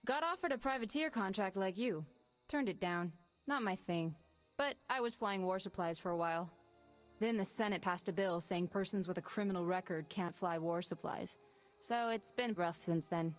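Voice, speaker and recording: Woman playing a worn-out smuggler pilot lady, brisk and businesslike, mid-pitched. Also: soft background music, close to an everyday voice. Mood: scheming. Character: weary female ex-smuggler pilot.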